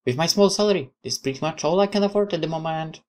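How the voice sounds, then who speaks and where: A woman voicing a playful, frank, soft-hearted young woman in a visual novel game. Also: sits low, quick pace, pitched above the performer's own voice, lightly acted. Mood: apologetic.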